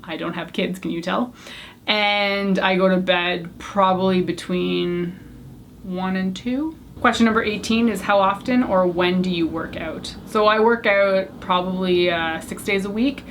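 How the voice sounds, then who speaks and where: A woman's voice: mid-range voice, a little slow, slight background noise. A young woman whose first language was French, chatting candidly to the camera as she answers a tag of questions. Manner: chatty, candid, self-deprecating. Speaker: beauty YouTuber. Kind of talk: casual Q&A tag video.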